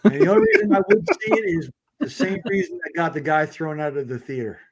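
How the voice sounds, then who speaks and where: A man's voice: fairly high pitch, wildly swooping pitch, fast talker, creaky, over a noisy crowd. A man on a casual pop culture panel who chimes in with dry one-liner jokes.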